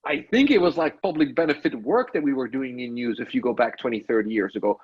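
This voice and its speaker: A man's voice: medium pitch, quick pace, breathy edge, highs cut off. A man from Belgium, a podcast guest who thinks aloud in a casual interview, often checking in with "right?" and restarting his sentences. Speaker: podcast guest, tech studio lead.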